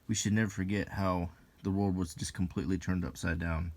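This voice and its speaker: A man giving unscripted, ASMR-style commentary as he browses, in a musing, halting way with pauses. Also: sits low, flat delivery, vocal fry, a little mellow, a little hiss or hum. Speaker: video host reviewing an old magazine.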